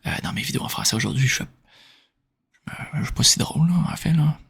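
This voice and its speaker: A Québécois man speaks softly in an ASMR question-and-answer style. His voice slips into whispers, very breathy with a crackly fry at the edges. He talks informally and hesitantly, improvising as he goes, at a middling pitch. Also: animated, quick pace. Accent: Québécois French. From Quebec, Canada. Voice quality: whispery, breathy, soft-spoken. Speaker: ASMR video creator answering viewer questions.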